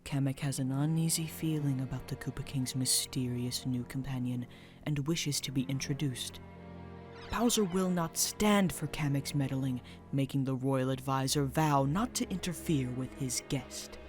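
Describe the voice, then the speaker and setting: A man's voice: medium pitch, normal speed. Male storybook narrator over music.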